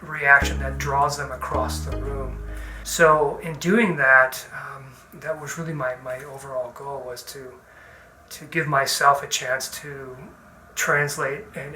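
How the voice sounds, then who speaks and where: A middle-aged man, an artist talking informally about his own work in a halting, reflective way, often repeating and rephrasing his words. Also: medium pitch, bright, forceful tone, slight background noise. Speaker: visual artist. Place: indoor art studio. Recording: roomy echo, music underneath. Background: background music.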